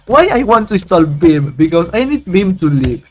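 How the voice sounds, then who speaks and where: Playful man, slightly high voice. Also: big pitch swings, normal speed. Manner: playful, rambling, hums and sings tunes while waiting. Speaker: tech YouTuber. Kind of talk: casual screen-recorded tutorial.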